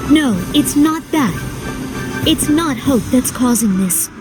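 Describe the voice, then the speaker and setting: Young princess, high pitch, slow pacing, earnest and emotional, video game drama. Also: female voice, lively intonation. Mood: grave. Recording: music under the voice.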